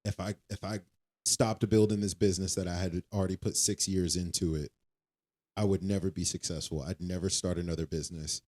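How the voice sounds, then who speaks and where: A man, co-hosting a relaxed podcast about faith. He talks in a reflective, searching way, circling back and repeating his phrases as he works out a thought. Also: low-pitched, fairly quick. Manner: reflective, repeats himself, trails off. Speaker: podcast co-host. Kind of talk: casual faith-based chat.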